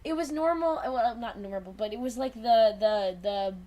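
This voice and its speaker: Young woman chatting, higher-pitched voice. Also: moderate pace, background noise. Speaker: podcast host. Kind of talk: casual group chat.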